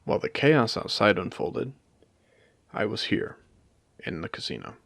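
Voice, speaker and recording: A man playing a wry, courteous AI that was once human, speaking formally and deliberately in a dramatic audio roleplay. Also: on the low side, big pitch swings, vocal fry, fairly bright tone, no room sound, full character voice. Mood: grave, reminiscing. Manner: articulate, theatrical, deliberate, formal wording. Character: wry, courteous male AI, once human, haunting a ruined casino. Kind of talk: dramatic audio roleplay monologue addressed to a listener.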